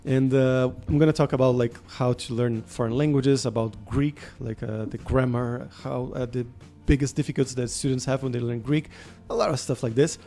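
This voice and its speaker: Man, interview host, mid-pitched voice, enthusiastic, lots of fillers, faint music behind. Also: steady tone, normal speed, few pauses, warm tone. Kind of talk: casual interview chat.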